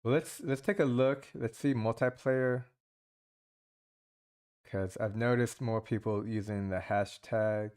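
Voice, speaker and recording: A man's voice: mid-range voice, steady tone, a little slow, darkish tone, clear, resonant tone. A man giving casual, rambling commentary while playing mobile multiplayer games, thinking aloud with many restarts. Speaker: gaming channel host.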